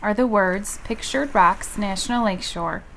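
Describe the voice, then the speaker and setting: Woman audio describer, plain and steady, reads out picture descriptions. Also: mid-range voice, moderate pace, slight background noise, poor audio. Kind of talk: plain descriptive narration of photographs.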